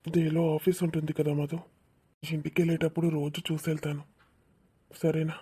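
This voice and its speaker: Woman playing a husky, low-voiced young male lover. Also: little pitch movement, normal speed. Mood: tender. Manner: soft, romantic. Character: tender young office-going male lover. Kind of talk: cartoon romance acting.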